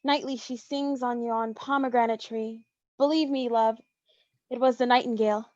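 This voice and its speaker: A woman's voice: a little high, steady tone, moderate pace, a little mellow, dry recording, close to an everyday voice. A woman playing a lovestruck teenage girl in theatrical Shakespearean verse, on a lo-fi online microphone. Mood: tender, coaxing. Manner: dramatic, theatrical delivery. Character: lovestruck teenage girl pleading with her boyfriend. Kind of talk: Shakespearean verse acting in an online staged reading.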